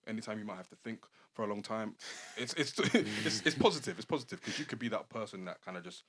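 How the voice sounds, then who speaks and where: A man's voice: on the low side, expressive, quick pace, fairly bright tone. A man hosting a casual podcast, talking in a laid-back, slangy, encouraging way. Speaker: podcast host.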